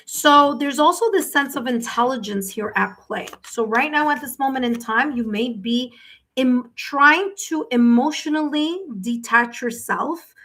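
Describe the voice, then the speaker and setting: Woman, tarot reader, live-stream host, chatty, repetitive, fairly high voice. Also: a little slow, a bit bright. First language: English, with Spanish as second language. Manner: chatty, repeats herself, tags sentences with "okay?".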